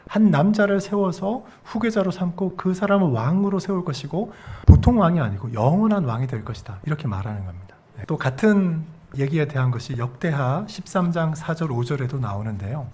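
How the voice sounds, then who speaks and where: Middle-aged dialect-speaking male pastor, slightly high husky voice, teaching-style sermon with questions to the congregation. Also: lively intonation, fairly quick, few pauses, warm tone. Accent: Korean regional dialect. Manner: explains verses step by step, asks the congregation questions. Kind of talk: expository sermon.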